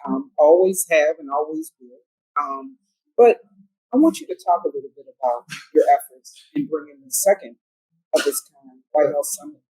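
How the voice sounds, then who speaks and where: A woman hosts a podcast interview, affirming and encouraging her guest. Her pitch moves around a lot as she speaks, and her voice has a husky grain. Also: big pitch swings, moderate pace, mellow, low-quality recording. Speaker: podcast host. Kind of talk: interview hosting.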